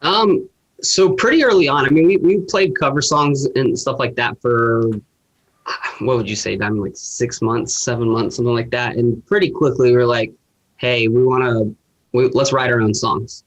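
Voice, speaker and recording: A young man from Atlanta, a band musician, answering interview questions in a relaxed, rambling way. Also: mid-range voice, moderate pace, low-quality recording. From Atlanta, USA. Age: young adult.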